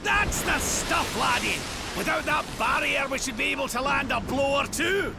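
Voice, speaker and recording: An actor with a Scottish accent playing a jovial, folksy adventuring companion in a video game. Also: male voice, very high voice, animated, moderate pace, vocal fry, over loud music, full character voice. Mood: excited, rallying. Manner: chummy, colourful, folksy.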